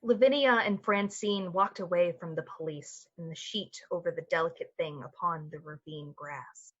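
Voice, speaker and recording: A woman's voice: on the low side, normal speed, lo-fi sound, close to an everyday voice. A woman playing the solemn storyteller of an eerie radio play, narrating a scripted tale. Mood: solemn, hushed.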